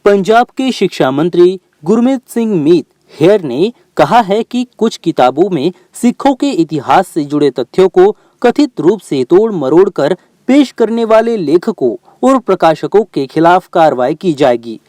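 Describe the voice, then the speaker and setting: Animated, higher-pitched male news narrator. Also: a little slow, hardly any pauses, no room sound. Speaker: news reporter.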